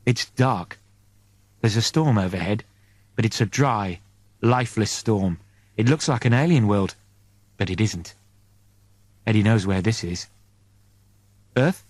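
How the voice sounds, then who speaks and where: A man's voice: fairly low pitch, unhurried, pauses often, a little mellow, no room sound. A man narrating a story in the present tense as a dramatic audiobook reader.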